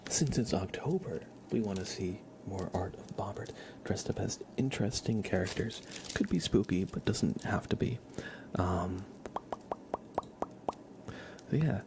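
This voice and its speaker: A man hosting an ASMR livestream, chatting casually and softly, often slipping toward a whisper, with noise behind him. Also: low-pitched, lively intonation, a little slow. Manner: soft-spoken, often drops to a whisper. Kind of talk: soft casual ASMR chat. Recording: clear background noise on livestream.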